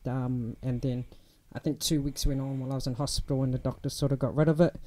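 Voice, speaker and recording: A young man with a New Zealand accent, chatting as a guest in a casual interview, relaxed and full of ums and restarts. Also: medium pitch, fast talker, slight background noise.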